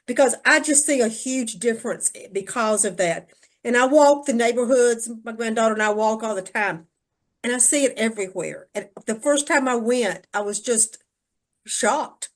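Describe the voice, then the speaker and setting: A woman's voice: a little high, moderate pace. An older woman speaking casually in a group discussion, emphatic and repeating phrases to stress her point. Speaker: church discussion participant.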